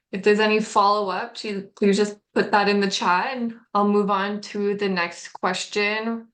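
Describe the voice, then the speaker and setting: A woman's voice: mid-range voice, a bit bright. A woman moderating a webinar, steadily reading out audience questions.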